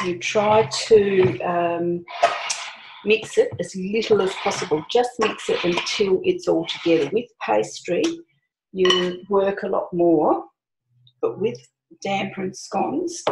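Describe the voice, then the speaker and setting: An older woman hosts a home cooking demonstration, explaining each step in a chatty, homely way while she works in her kitchen. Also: mid-range voice. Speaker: cooking show host. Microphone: camera on the counter. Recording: echoey kitchen with clicks and noise.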